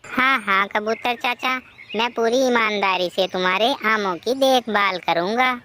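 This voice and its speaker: Woman voicing earnest sparrow, slow and deliberate. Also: on the high side, pushed and bright, a little hiss or hum. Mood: polite, agreeable. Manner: respectful, earnest. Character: honest, polite adult male sparrow, a family man. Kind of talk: Hindi cartoon moral story voice acting.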